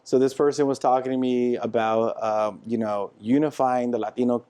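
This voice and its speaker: A man's voice: medium pitch, fairly even intonation, normal speed, mellow, smooth. A young man hosting a podcast in casual chat, talkative and eager, encouraging his guest as he listens. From San Diego, California. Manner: chatty, enthusiastic, encouraging listener. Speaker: podcast host.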